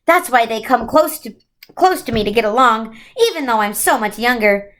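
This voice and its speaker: Lady reading as grand gentle winged creature in a kids book, high voice, encouraging. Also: expressive, moderate pace. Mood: patient explaining. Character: majestic winged centaur-like female creature, kindly and encouraging.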